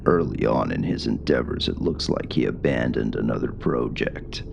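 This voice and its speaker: An extremely deep, heavily creaky military commander, acted out by a man, speaks softly over clear background noise. The delivery is hushed and deliberate, like a gruff officer briefing a soldier in an ASMR radio roleplay. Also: expressive, heavily acted. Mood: grave, instructing. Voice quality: gravelly, hushed.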